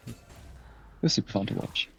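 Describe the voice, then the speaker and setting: A hoarse man with a sore throat co-commentating an esports match in a laid-back, dryly joking way. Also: brisk, fairly bright tone, a little hiss or hum. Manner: laid-back, dry banter.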